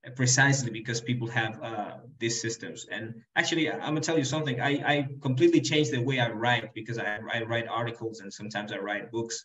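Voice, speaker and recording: A man's voice: mid-range voice, racing, hardly any pauses, a bit bright. A young man, a guest Arabic teacher on a video call, talking earnestly and advising viewers, often repeating words as he goes. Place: indoor room. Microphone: desk microphone on video call. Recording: roomy, dull video-call audio.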